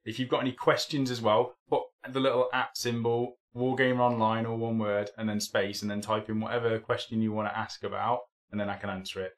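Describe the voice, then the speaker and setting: A man's voice: on the low side, little pitch movement, brisk, hardly any pauses. A young man hosting a live hobby stream in casual, rambling chat, still a bit tired from a cold. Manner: rambling, chatty, many ums and restarts.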